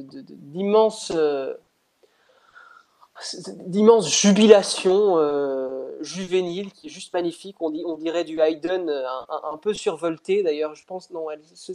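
A man's voice: fairly high pitch, normal speed, mellow. Hesitant young man on a video call. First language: not French. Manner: thoughtful, hesitant, frequent restarts. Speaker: pianist interviewed. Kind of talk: casual interview over video call. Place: indoor room at home. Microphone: headset on video call.

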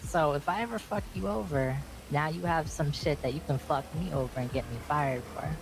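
A man's voice: a little high, a little fast, vocal fry, background noise. A man roleplaying a laid-back character in a game, talking casually and often repeating himself. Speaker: roleplay player.

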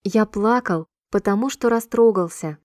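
A woman reading short sentences slowly and clearly for a language-listening drill, repeating each one in a measured, even way. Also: mid-range voice, no echo, clean studio sound. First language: Russian. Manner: clear, measured, evenly paced repetition. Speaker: Russian sentence reader.

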